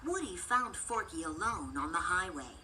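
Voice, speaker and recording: A woman narrating a children's story as an expressive scripted read-aloud. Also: on the low side, moderate pace, heavy background noise.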